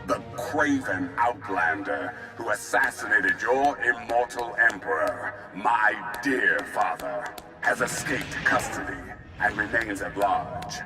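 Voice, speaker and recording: Imperious, low-voiced tyrant emperor. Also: male voice, expressive, slow pace, music in the background. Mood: cold authority. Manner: imperious, declamatory. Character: haughty young male tyrant emperor. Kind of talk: formal broadcast proclamation in a video game.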